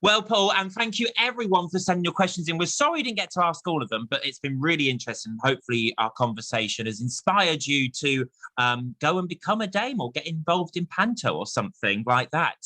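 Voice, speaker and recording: An English man with a high-set, very bright voice that swings animatedly as he hosts a chat show. He is effusive and encouraging, and the sound is close and dry. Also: expressive, a little fast, hardly any pauses, no echo, poor audio. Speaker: host of a theatre chat show. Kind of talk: chatty interview and quiz hosting.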